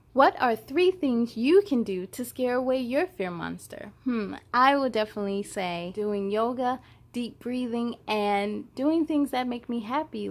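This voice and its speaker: A woman hosting a children's story time, reading and chatting expressively and speaking to young viewers in an encouraging way. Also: on the high side. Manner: encouraging, addresses children directly. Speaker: children's storytime host and reader. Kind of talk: expressive read-aloud narration for young children.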